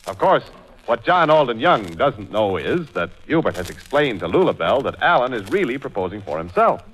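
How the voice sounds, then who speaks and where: A man's voice: medium pitch, sing-song, normal speed, fairly bright tone, husky, poor audio. An American man announcing in a polished, persuasive 1940s radio commercial style.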